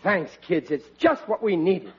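A man's voice: high voice, measured pace. An actor playing a goofy, forgetful middle-aged husband and father with a slangy Brooklyn accent in a vintage radio sitcom. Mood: gleeful, mocking. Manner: blustering, slangy, excitable. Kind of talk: 1940s radio sitcom acting. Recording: muffled, very noisy vintage radio recording.

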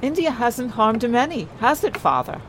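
A woman's voice: fairly high pitch, very animated, normal speed, fairly bright tone, slight background noise. An actress playing a placid, doting older English wife and mother in an audio drama, soothing and politely fussing. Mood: fond, welcoming.

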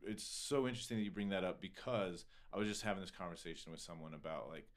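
A man's voice: sits low, fast. An American man hosting a casual podcast conversation, rambling and digressive, full of filler words and swearing. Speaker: podcast host.

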